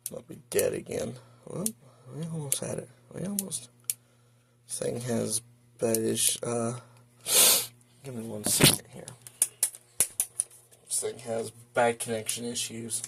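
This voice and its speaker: A man talking casually and haltingly through an unscripted show-and-tell as a hobbyist. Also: mid-range voice, gravelly. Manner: halting, hesitant, informal. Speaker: hobbyist video host showing a weather radio collection. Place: indoor room at home. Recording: noisy home video with clicks.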